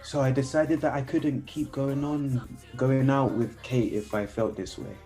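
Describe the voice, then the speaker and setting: A man's voice: medium pitch, steady tone, a little slow, warm tone, faint music behind, natural voice. An actor playing an earnest, lovestruck young man in a radio play, awkward but persistent. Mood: confessional. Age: young adult. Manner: earnest, hesitant.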